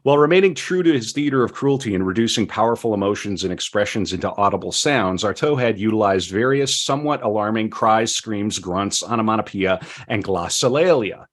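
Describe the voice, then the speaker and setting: A man hosting a podcast discussion, speaking with deliberate, emphatic phrasing and at times reading from prepared notes. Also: lowish voice, expressive. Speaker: podcast host.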